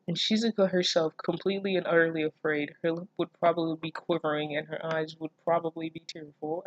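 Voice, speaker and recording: A woman's voice: low-pitched, poor audio. A woman reading fan fiction aloud and reacting casually, with sarcastic, incredulous, foul-mouthed interjections.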